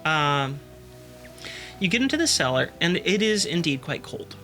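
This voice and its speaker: A man's voice: fairly high pitch, sing-song, unhurried, music in the background. A man running a tabletop game as game master, sniffly from allergies, describing scenes with plenty of fillers. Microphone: desk microphone.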